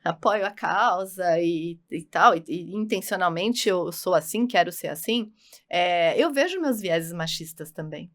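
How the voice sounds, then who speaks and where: A woman's voice: on the low side, expressive, unhurried, a bit bright. A middle-aged woman with a Brazilian accent, talking as a guest in an emphatic, conversational, explanatory way. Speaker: podcast guest, consultant.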